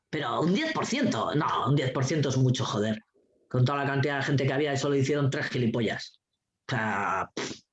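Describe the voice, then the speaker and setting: A woman giving a lively, anecdotal talk as a guest speaker, blunt and sarcastic, swearing freely and laughing often. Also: sits low. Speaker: guest speaker, teacher trainer. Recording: dull lo-fi recording.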